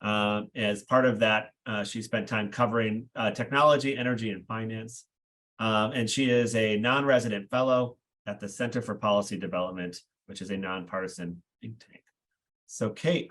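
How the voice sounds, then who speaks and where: A man's voice: on the low side, normal speed, fairly bright tone. A man moderating an online panel, introducing the next speaker with frequent fillers.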